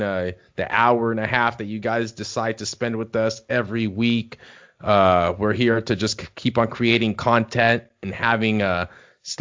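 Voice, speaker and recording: Chill podcast dude rambling along, lots of uhs, kinda muffled lo-fi sound. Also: male voice, on the low side, fairly bright tone, smooth. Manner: laid-back, rambling with many fillers. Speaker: podcast co-host. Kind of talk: casual chat.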